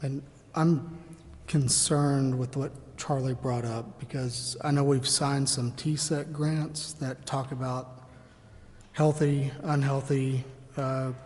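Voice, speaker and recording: A man speaks slowly and deliberately as a council member at a public meeting. His delivery is flat and halting at a middle pitch. Long room reverb surrounds him. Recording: hall reverb, faint background noise.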